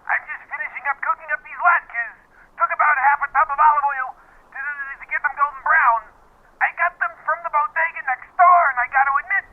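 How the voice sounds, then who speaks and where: A man playing a neurotic, stammering oversharer in a scripted radio comedy, heard through an intercom-like filter. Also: extremely high pitch. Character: rambling, neurotic shut-in man cooking latkes. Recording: tinny phone-like line, faint noise.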